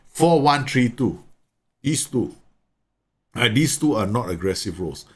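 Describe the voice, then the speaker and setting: A man's voice: mid-range voice, sing-song, unhurried, husky. A man from Singapore, chatting and explaining tactics while live streaming a game, often repeating words. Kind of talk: live gameplay commentary and chat.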